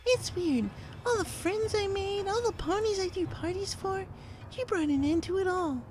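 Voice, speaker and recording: A man's voice: extremely high pitch, moderate pace, darkish tone, slight background noise, pitched above the performer's own voice. A man voicing a female killer pony who is menacing and frighteningly cheery, taunting in a mock-sweet, sing-song way, in a read-aloud story. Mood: mock-hurt, sinister.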